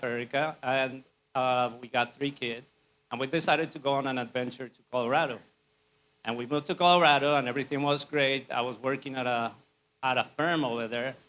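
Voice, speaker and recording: A man's voice: mid-range voice, pushed and bright, low-quality recording. A man from Spain with a Spanish accent gives an informal presentation to an audience as a business owner.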